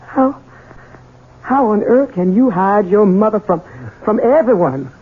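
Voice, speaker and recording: Husky, long-suffering servant woman. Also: mid-range voice, expressive, measured pace. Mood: horrified. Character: long-suffering, bitter, fearful female household servant. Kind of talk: 1970s radio drama acting. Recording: muffled, narrow vintage radio broadcast.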